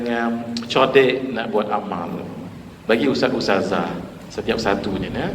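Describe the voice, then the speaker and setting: A man in his forties with a Malaysian Malay accent, giving a lively sermon in a mosque and putting questions to the audience. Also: mid-range voice, background noise. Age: 40s. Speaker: preacher giving a mosque lecture. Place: mosque hall. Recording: echoey hall reverb.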